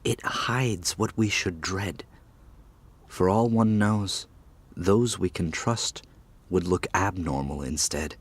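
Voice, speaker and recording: A man playing a stately, wealthy lord in an audiobook drama, speaking calmly in formal, archaic phrases. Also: sits low, slow, breathy edge, exaggerated voice. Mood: calm, reassuring. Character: stately wealthy lord, calm, insightful, smooth-talking rescuer.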